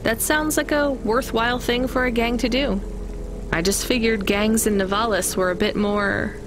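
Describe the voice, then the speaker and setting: A woman's voice: a little high, fairly even intonation, normal speed, noisy background, natural voice. A woman voicing a wry, level-headed young delivery driver in a video game, with a dry, questioning manner. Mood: curious, wry. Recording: music behind the voice.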